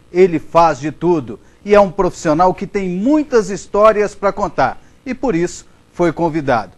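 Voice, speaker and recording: A Brazilian man hosting a televised sports interview, opening with a polished broadcaster's introduction. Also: high voice. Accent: Brazilian Portuguese. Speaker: interview show host. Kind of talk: TV studio interview.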